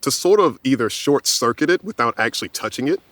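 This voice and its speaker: A man chatting as a player in a tabletop game, his voice jumping up and down in pitch as he reacts. His delivery is casual and excitable, with a mid-range baseline. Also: brisk. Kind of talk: casual play chat.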